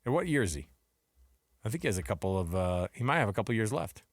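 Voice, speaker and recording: A man's voice: on the low side, sing-song, fast talker, dry recording, studio quality. An American man co-hosting sports talk radio, chatting casually and bluntly in slang, often tossing out questions. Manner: conversational, opinionated, slangy, throws out questions.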